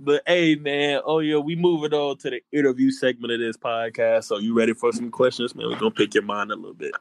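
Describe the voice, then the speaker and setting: A man's voice: mid-range voice, fairly even intonation, brisk, no room sound. A young man co-hosting a casual podcast, upbeat and slangy, often affirming his guest. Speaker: podcast co-host.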